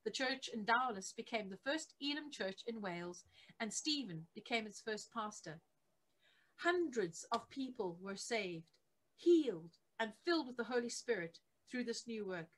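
A middle-aged woman reading a biography aloud as scripted narration, measured and with emphasis on key phrases. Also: on the high side, expressive, poor audio. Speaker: reader of a church history book. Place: attic home office, indoors.

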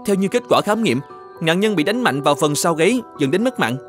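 A quick, high-voiced dutiful officer. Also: male voice, animated, soft background music. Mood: dutiful, earnest. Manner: deferential, brisk reporting. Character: dutiful, deferential male officer serving the governor. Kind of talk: animated folk tale dubbing.

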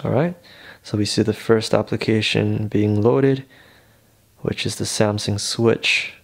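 Guy with a lowish voice walking thru a phone how-to, casual and reassuring, takes his time. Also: steady tone, a little slow, creaky. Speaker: phone tutorial presenter. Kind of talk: casual step-by-step tech tutorial.

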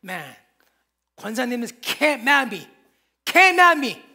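A man's voice: very high-pitched, very animated, fairly quick, gravelly, bright, forceful tone. A man, preaching a sermon from a church pulpit with emphatic, repeated phrases. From Korea. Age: 50s. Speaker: pastor.

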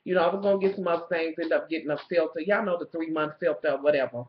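A young woman with a raspy voice from a cold, ranting to viewers in blunt, swearing storytelling from a small room at home. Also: deep voice, racing, slight background noise. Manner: loud, blunt and swearing, talking to viewers directly. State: coming down with a cold. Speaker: vlogger. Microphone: webcam in front of the face. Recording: roomy, muffled webcam audio.

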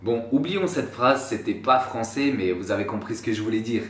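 Young dude rambling slangy to the camera, lowish voice, echoey room, a bit far from the mic. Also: male voice, lively intonation, brisk. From France. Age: 20s. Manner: slangy, rambling, self-mocking asides. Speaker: YouTuber. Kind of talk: casual vlog talk to camera. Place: small room indoors. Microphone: camera a few feet away. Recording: roomy, slightly distant sound.

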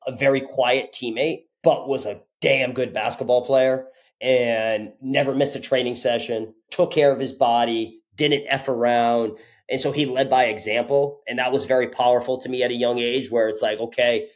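A man in his thirties from Connecticut, a strength coach telling stories in a casual interview, lively and blunt, heard over a webcam on a video call from a home office. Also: mid-range voice. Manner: energetic, animated, casual with mild swearing. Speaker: strength and conditioning coach, guest. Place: home office with ceiling light, indoors.

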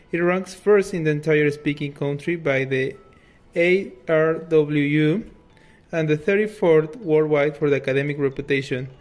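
Upbeat man from Mexico, higher voice. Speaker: international student. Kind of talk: promotional narration.

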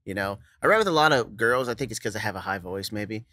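A young man with a bright, crisp voice talks through a close studio mic on a boom arm, dry and close with no room sound. He is easygoing and loose, laughs often, and his sentences trail off into "you know". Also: expressive, fast talker, dry recording. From Kansas. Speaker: songwriter and producer guest. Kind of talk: casual interview chat. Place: studio set with brick wall and couch.

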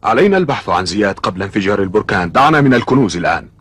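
A man's voice: on the low side, sing-song, racing, a bit bright, breathy edge, dry recording, clean studio sound, full character voice. A man playing a stern, anxious middle-aged father in an Arabic cartoon dub, speaking formally and firmly. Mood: urgent. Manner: firm, admonishing, formal diction.

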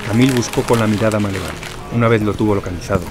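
A man's voice: on the low side, racing, over music, natural voice. A man reading scripted literary narration for a crime drama. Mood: solemn. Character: solemn crime-story narrator.

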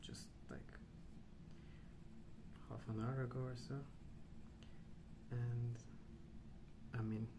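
A man speaking softly and breathily in an ASMR style, often near a whisper, slow and calm with hesitant pauses between short phrases. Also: mid-range voice. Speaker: ASMR host. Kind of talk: soft-spoken rambling ASMR. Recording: clear background noise.

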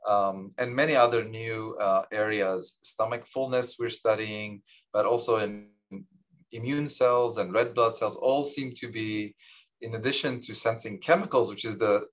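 Lebanon-raised man, Armenian native, low voice. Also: fairly even intonation, measured pace. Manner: modest, frequent restarts and fillers. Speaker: Nobel laureate guest. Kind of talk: candid interview over video call. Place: office with large window. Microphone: video call webcam.

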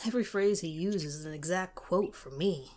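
A woman's voice: on the low side, normal speed, a little mellow, slight background noise. A woman reading a scripted first-person story as a narrator.

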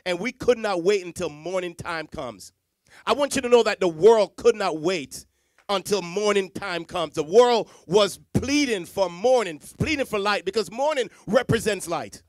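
Middle-aged preacher from Jamaica, high voice, dry close sound, handheld mic, dramatic hushed-to-loud delivery. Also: male voice, expressive, normal speed, no room sound. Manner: dramatic swings from hushed to loud, repetitive emphasis. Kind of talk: sermon. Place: church stage with Christmas decor, indoors. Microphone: handheld microphone.

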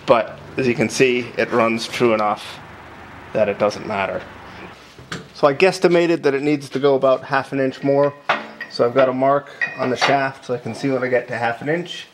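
A man's voice: medium pitch, steady tone, normal speed. A middle-aged man casually explaining his work in an informal, hesitant, self-deprecating way, recorded in an indoor workshop. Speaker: maker presenting a workshop build. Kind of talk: casual explanation while working. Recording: faint workshop background noise.

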